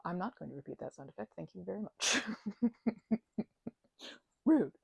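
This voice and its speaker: A woman in an ASMR roleplay talking to the listener as a roommate, rambling and hesitant, with lots of fillers and stammered restarts and soft whispers at times, close to the microphone. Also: lowish voice, big pitch swings, moderate pace, gravelly, husky, dry recording.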